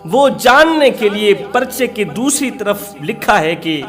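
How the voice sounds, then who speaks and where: Guy preaching an emotional religious tale, really high voice, dramatic, repeats lines for emphasis. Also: very animated, a little slow, fairly bright tone, faint music behind. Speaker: preacher telling a moral tale. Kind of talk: emotional Urdu sermon storytelling.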